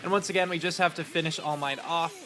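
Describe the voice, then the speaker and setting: A man with a stuffy nose giving relaxed, rambling commentary on his speedrun, with lots of ums. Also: a little high, fast talker. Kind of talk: casual post-run gameplay commentary.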